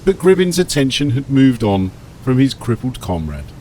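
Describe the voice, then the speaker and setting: A man's voice: medium pitch, very animated, moderate pace, a little mellow, a little hiss or hum. A man narrating an audiobook story in a scripted, dramatic storytelling style.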